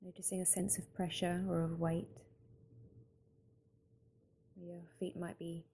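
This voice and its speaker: Female meditation guide, lowish and very warm, relaxed tone, calm slow phrasing, gentle instruction. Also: breathy edge. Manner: calm, unhurried, gentle instruction with long pauses.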